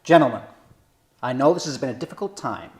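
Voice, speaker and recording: A man's voice: mid-range voice, animated, lightly acted. Man reading as a formal corporate chairman. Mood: formal, grave. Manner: formal, measured speech-making. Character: formal 1980s male corporate chairman addressing his board. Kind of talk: table read of a boardroom drama.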